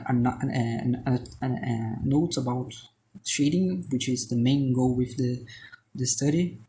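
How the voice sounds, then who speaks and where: A man's voice: mid-range voice, a little mellow, slight background noise, poor audio. A Brazilian man, a native Portuguese speaker with a Brazilian accent, rambling through a casual voice-over as he sketches, full of restarts and fillers, now and then joking at his own expense. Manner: joking, self-deprecating. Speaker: artist and channel host.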